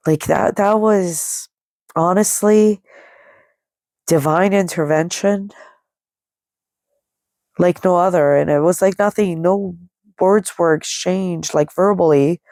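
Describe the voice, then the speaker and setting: A middle-aged woman with a congested, nasal voice and plenty of vocal fry tells a personal story in a casual, meandering way. She takes her time, speaking slowly as she quotes people along the way. The sound is close and dry. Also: on the low side, dark tone, no room sound. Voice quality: nasal, stuffy. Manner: rambling, conversational, quoting dialogue as she goes. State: congested with a head cold. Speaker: podcast host.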